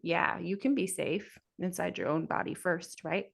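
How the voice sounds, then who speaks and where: A woman's voice: on the low side, fairly even intonation, moderate pace, vocal fry. A woman co-hosting a podcast, chatting casually and emphatically, often acting out what people say. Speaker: podcast co-host. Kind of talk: casual chat.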